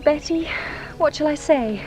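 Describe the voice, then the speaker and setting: A genteel young woman confessing as a witness in a radio drama. Her voice is on the high side, anxious and hesitant, with a dramatic edge. Light music runs underneath. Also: unhurried, dark tone, poor audio. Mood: troubled, guilty. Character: anxious, guilt-ridden genteel young Englishwoman giving testimony. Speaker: actress playing a witness.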